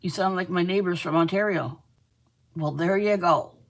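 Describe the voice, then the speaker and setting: A woman's voice: fairly low pitch, normal speed. A middle-aged Canadian woman hosting a live crochet stream, chatting casually, teasing and laughing often while she reads viewer comments aloud. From Manitoba, Canada.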